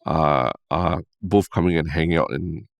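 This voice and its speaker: A young Singaporean man with a deep, low voice talks easily on a podcast. He sounds relaxed and keeps tagging his sentences with "lah" and "right?". The sound is dry and close, with no room around it. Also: moderate pace, vocal fry, no room sound. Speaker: podcast co-host. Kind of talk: casual podcast chat.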